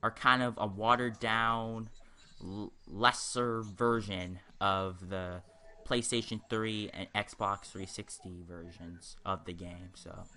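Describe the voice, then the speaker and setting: A man's voice: on the low side, steady tone, slow pace, sharp tone. A man giving casual commentary over a video game walkthrough, rambling and hesitant, often repeating himself. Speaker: gaming video host.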